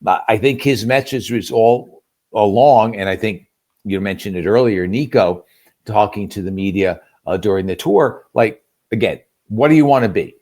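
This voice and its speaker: A man's voice: lowish voice, moderate pace, darkish tone. A man giving conversational sports analysis as a guest commentator, talking emphatically and posing rhetorical questions. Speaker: guest sports radio play-by-play announcer.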